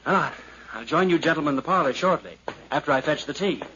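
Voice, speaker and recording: An actor playing a guilt-ridden nephew who has murdered, courteous yet prone to panic, in theatrical 1950s radio drama style. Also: male voice, fairly high pitch, expressive, fast, bright, forceful tone. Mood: polite, controlled. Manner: theatrical, courteous turning frantic. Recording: dull, hissy 1950s radio recording.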